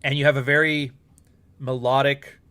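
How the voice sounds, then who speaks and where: A man's voice: mid-range voice, flat delivery, moderate pace, pushed and bright, clean tone. Blunt, profane Canadian man chatting live. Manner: blunt and profane, rambling asides. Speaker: livestream host. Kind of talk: casual live-stream chat.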